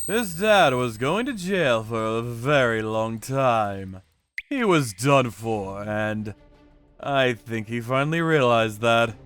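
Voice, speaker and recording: A man's voice: mid-range voice, animated, takes it slowly, a bit bright, clean tone, faint music behind. A man narrating a manga story in the first person with a theatrical, cocky delivery.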